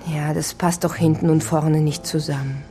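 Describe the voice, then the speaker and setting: A woman's voice: low voice, steady tone, normal speed, dark tone, lightly acted. An actress playing a sceptical, inquisitive woman working through a case, direct and reasoning, in a naturalistic radio crime drama.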